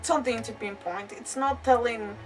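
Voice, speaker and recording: A woman's voice: a little high, normal speed, distant mic, soft background music. A woman game streamer giving casual live commentary, thinking aloud with exclamations and mild swearing.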